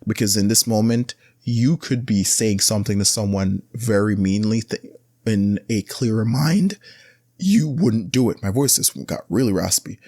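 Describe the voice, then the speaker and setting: A man hosting a casual solo podcast, giving blunt advice in a rambling, conversational way that often jumps up in pitch to stress a point. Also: moderate pace, a little mellow, no room sound, studio quality. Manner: rambling, with frequent restarts and filler words. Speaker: podcast host.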